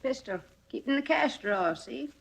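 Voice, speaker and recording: A woman's voice: sits high, animated, moderate pace, very noisy. An actress playing a tough, stubborn older woman who keeps a hotel, speaking bluntly in a 1950s radio drama. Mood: defiant. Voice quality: gravelly, blunt. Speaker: hotel keeper. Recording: vintage 1950s radio broadcast.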